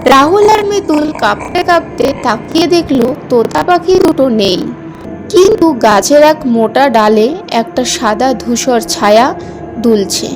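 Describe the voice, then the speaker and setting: A woman narrating a scripted horror fairy tale as a storyteller. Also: high voice.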